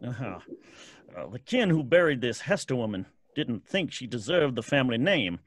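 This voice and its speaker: Lively, folksy graveyard caretaker. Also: male voice, mid-range voice, normal speed. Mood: ominous, folksy. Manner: hesitant, rustic, spooked. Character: folksy, uneasy graveyard caretaker telling legends. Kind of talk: old-time radio drama acting.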